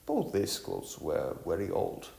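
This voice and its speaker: Deep, slow-reading man narrating a story. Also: huge pitch swings, creaky, mellow. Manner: halting reading with stumbles and repeated words. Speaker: narrator of an audiovisual novel. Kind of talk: amateur scripted storybook narration. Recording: echoey room, music underneath.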